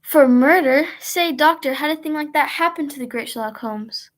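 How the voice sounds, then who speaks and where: A woman's voice: sits high, normal speed, lightly acted. A woman playing an eager, chatty announcer in an old-time radio drama, hosting and prompting the storyteller. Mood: curious, attentive. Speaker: announcer and host.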